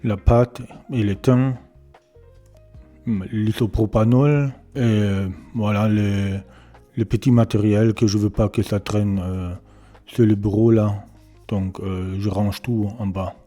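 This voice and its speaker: A German man speaking French with a German accent, hesitantly introducing himself and showing his small home repair workshop, often pausing to search for words. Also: on the low side, steady tone, warm tone, soft background music. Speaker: electronics repair beginner presenting his channel. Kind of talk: casual self-introduction and workshop tour.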